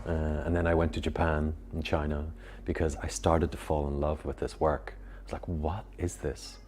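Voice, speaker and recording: A man with a Belfast accent, a meditation teacher, speaking slowly and with long pauses. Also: very deep voice, level tone, darkish tone. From Northern Ireland. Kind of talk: reflective personal account.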